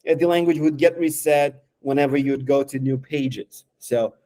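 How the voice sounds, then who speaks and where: Mellow-voiced man demoing software. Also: mid-range voice, fairly even intonation. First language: Romanian. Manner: halting, lots of fillers and restarts. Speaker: software developer. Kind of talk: casual screen-share product demo.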